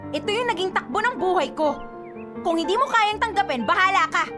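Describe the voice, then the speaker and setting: A woman playing an insistent, superstitious young girlfriend in a Filipino radio drama, with an expressive delivery. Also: very high voice, a little fast, over music. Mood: stubborn, annoyed.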